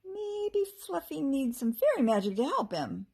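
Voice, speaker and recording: A woman's voice: sits high, sing-song, measured pace, a little mellow, voice pushed up, heavily acted. A woman voicing a young girl adventurer in a storybook, plucky but unsure, speaking in a hesitant, questioning way. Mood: anxious.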